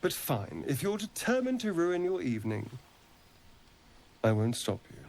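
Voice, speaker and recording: A man's voice: fairly high pitch, sing-song, measured pace, darkish tone. An actor playing a louche, teasing male rogue in a video game, with a drawling, theatrical manner. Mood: haughty teasing.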